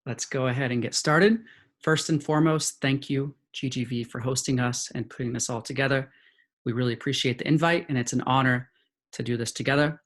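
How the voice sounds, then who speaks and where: A mid-pitched man leads a business webinar. His delivery is upbeat and polished, as if running through slides over a video call. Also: fairly even intonation, brisk, fairly bright tone. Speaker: head of sales, presenter. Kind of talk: webinar presentation.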